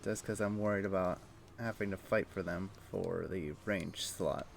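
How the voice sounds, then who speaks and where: Low gravelly-voiced man chatting while gaming. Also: fairly even intonation, moderate pace, slight background noise. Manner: low-key, conversational, thinking aloud. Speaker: game streamer. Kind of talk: casual live gameplay commentary and chat.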